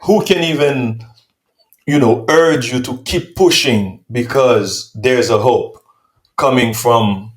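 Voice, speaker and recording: A man's voice: mid-range voice, slow pace. A man from Haiti hosting an interview, asking long, probing questions and often circling back. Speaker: host. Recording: roomy echo.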